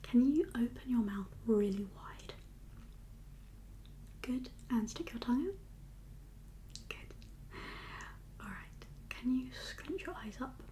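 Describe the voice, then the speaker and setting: A woman whispering as a doctor in an ASMR exam roleplay, giving gentle, reassuring instructions in a breathy voice. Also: on the high side, expressive, slow, long pauses, a little hiss or hum. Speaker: ASMR performer playing a doctor.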